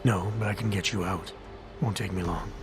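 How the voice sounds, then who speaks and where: An actor playing a calm, determined covert agent in a video game, terse, restrained and matter-of-fact. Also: male voice, low-pitched, normal speed. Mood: firm, pressing. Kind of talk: dramatic video game acting. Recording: game dialogue under loud music. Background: game music.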